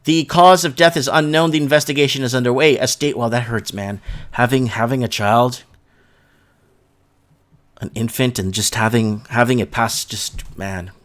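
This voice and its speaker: A man's voice: medium pitch, steady tone, moderate pace, a bit bright. A man hosting a casual livestream, talking in an informal, slangy, rambling way as he chats and reads comments and news aloud. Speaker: live show host.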